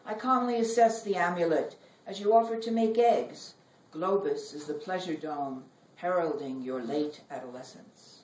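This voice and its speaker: A middle-aged woman giving a live poetry reading in measured, deliberate phrases with dramatic pauses. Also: lowish voice, darkish tone. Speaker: poet reading own work. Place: library reading room. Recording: roomy, noisy recording, distant from mic.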